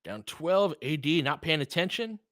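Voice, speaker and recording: Bright-voiced man calling basketball casually. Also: a little high, expressive, normal speed, dry recording, studio quality. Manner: informal and opinionated, clipped phrases during play, talking back to listeners. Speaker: host and play-by-play commentator of a basketball livestream. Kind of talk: casual fan play-by-play commentary mixed with chat.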